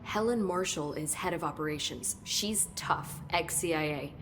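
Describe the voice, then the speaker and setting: A woman's voice: sits low, unhurried, background noise. A woman playing an eager, talkative research scientist in a video game, explaining technical details keenly. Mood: intent.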